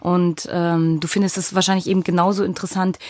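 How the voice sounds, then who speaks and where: A woman's voice: on the low side, little pitch movement, quick pace, a little mellow, dry recording, clean studio sound. A woman hosting a podcast interview, speaking conversationally and reflectively, with appreciative comments for her guest. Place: studio.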